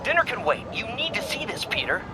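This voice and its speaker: Eager pushy scientist, high lively voice, noisy phone call, video game. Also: male voice, quick pace. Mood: excited, insistent. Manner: eager, pressing. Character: excited, insistent male scientist, self-absorbed in his breakthrough.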